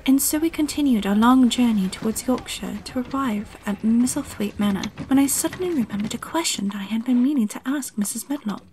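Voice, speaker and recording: A woman's voice: a little high, fairly quick, few pauses, a little mellow, a little hiss or hum, close to an everyday voice, poor audio. A woman voicing a lonely, contrary young girl who narrates her own story in an audio drama. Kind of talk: first-person storybook narration.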